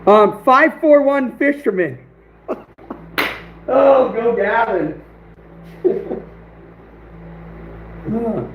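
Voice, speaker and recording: A man hosting a casual livestream, reacting to viewers, his voice going hoarse. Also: very high voice, animated, extremely slow, pauses often, a little hiss or hum. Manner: laughing, reacting to chat. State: losing his voice. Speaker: livestream host. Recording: echoey room, dull lo-fi stream audio.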